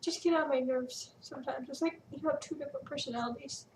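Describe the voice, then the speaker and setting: A woman's voice: high voice, fast talker, slight background noise. A woman reading a storybook, voicing a feisty, defiant young girl, with a roomy echo from a distant mic. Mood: gentle. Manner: defiant, yelling.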